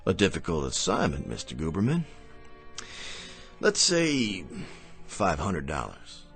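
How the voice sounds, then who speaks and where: A man's voice: deep voice, huge pitch swings, gravelly, fairly bright tone, soft background music, full character voice. An actor playing a courteous, insinuating miracle worker and con man in a radio drama. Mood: smooth, courteous. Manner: courteous, insinuating, drawn-out mock politeness. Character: smooth-talking, sly male miracle worker and con man.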